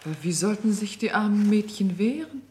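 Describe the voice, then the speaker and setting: An actress playing the naive, eager youngest of a group of genteel ladies in a radio play, with a husky, breathy voice. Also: female voice, mid-range voice, expressive, brisk, mellow, a little hiss or hum, lightly acted. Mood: concerned, curious. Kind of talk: German radio play acting.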